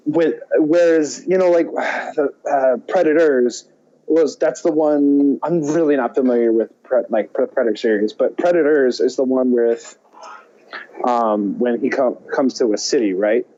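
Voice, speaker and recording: A man co-hosting a podcast in casual chat, excitable, opinionated and given to rambling. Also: mid-range voice, a little slow. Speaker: podcast co-host. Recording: dull, muffled lo-fi sound.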